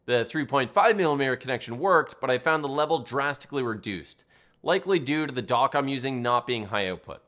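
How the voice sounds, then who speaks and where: A man's voice: mid-range voice, lively intonation, a little fast, fairly bright tone. A man reading a scripted product review as the reviewer and narrator.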